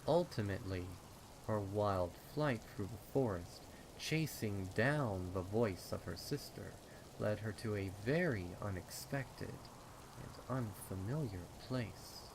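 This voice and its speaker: A man with a fairly low voice narrates a horror tale. He takes it very slowly, with ominous, dramatic phrasing. Rain is clearly heard behind the reading. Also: lively intonation, background noise. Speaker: fan fiction narrator. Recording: rain and storm ambience behind.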